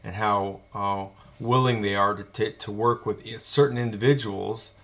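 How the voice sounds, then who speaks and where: A man hosting an interview who speaks haltingly, searching for words with frequent fillers. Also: on the low side, steady tone, fairly bright tone. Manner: halting, with many fillers and slow, searching phrasing. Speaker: interviewer and host. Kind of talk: conversational interview.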